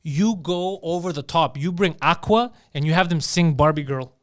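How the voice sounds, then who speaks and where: Co-host: man with a high voice, excitable, swears freely in casual banter. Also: normal speed. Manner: profane, excitable storytelling. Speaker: podcast co-host. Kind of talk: casual chat.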